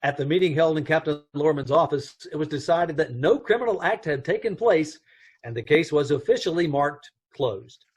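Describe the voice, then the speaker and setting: A man reading the announcer's lines for a 1950s-style radio drama in a formal, measured way. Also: on the high side, lightly acted. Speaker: show announcer.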